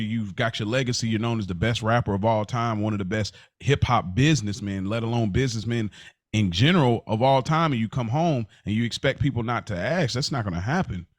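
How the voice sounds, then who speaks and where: A man's voice: lowish voice, dry recording, studio quality. Reflective podcast co-host man. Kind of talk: casual chat.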